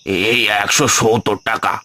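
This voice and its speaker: An actor playing a polite, obliging village sap seller in an animated story. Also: male voice, on the low side, takes it slowly, full character voice. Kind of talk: animated story character dialogue.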